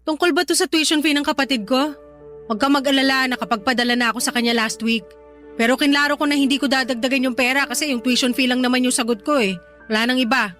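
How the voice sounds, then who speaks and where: A very high-pitched young Filipino woman in a radio drama, set over music. She is sharp-tongued and defiant, arguing with sarcasm and indignation as a resentful overseas worker. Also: fast. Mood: wary, annoyed. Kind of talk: Tagalog radio drama acting. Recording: music behind the voice.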